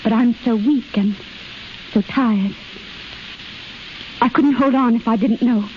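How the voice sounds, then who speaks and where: A woman's voice: on the high side, takes it slowly, muffled recording. An actress playing a frail, lovesick young woman invalid, plaintive and earnest, in a hissy 1930s radio drama. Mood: plaintive, weary.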